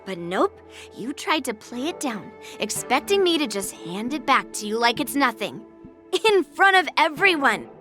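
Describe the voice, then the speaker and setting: A cocky young girl warrior from a video game. Her voice sits high, and she teases and taunts with a hot temper. Music plays clearly underneath. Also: very animated, moderate pace. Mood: taunting. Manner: brash, teasing, taunting.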